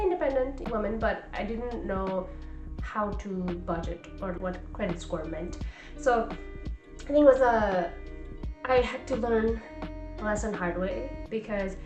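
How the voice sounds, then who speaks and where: A woman's voice: a little high, roomy sound. A woman in her twenties from Nepal, talking in a casual, reflective vlog full of "like" and "you know.". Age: 20s. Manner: chatty, reflective, many fillers like "like" and "you know". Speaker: vlogger and coach. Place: home in California.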